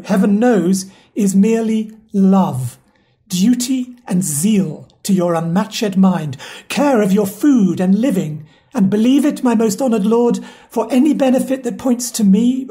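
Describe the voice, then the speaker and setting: A woman plays a faithful steward pleading with a master in a Shakespearean audio play. The delivery is slow and soft-edged, humble and earnest in its grief. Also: mid-range voice, natural voice. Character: loyal, grieving, devoted steward pleading with a master. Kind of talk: Shakespearean audio drama acting.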